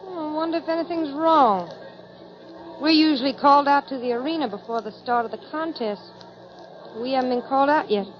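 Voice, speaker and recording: A woman's voice: high-pitched, fairly quick, natural voice. An actress playing a plucky, folksy cowgirl in a 1940s radio drama. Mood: eager. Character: plucky, folksy cowgirl trick rider, loyal to her man. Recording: muffled 1940s radio recording.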